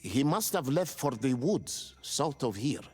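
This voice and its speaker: A grave village chief in a game, raspy husky voice, pitch moves around a lot. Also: male voice, very animated, unhurried, darkish tone. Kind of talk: video game cutscene acting.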